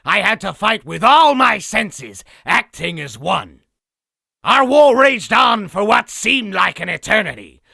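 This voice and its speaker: A man voicing a boastful raspy demon, telling an exaggerated battle story theatrically for a comic dub. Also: high voice, big pitch swings, a little slow, pushed and bright, dry recording, studio quality, exaggerated voice. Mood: boastful, grandiose. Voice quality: raspy, gravelly. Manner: theatrical, self-aggrandizing. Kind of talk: comic dub character narration.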